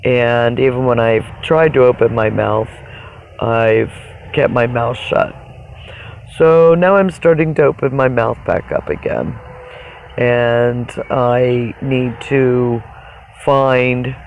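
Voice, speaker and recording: A person with a raspy voice talks in a casual, rambling livestream monologue, halting and reflective, often pausing mid-sentence. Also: mid-range voice, slight background noise. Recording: dull, narrow phone-like sound.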